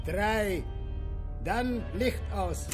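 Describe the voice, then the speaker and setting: A man's voice: sits high, drawn-out words, over loud music. A male actor playing a stern captor in a radio play, giving terse commands. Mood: cold, commanding. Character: stern, curt captor ordering a young woman about.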